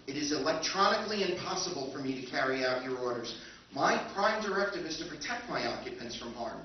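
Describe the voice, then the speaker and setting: A man's voice: a little high, lively intonation, a little fast, natural voice. A man playing a dutiful, protective talking lifeboat machine, formal, pleading and mournful in radio drama style. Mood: dutiful, firm. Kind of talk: 1950s radio drama acting. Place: live convention stage performance. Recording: distant, echoey hall, dull, noisy live recording.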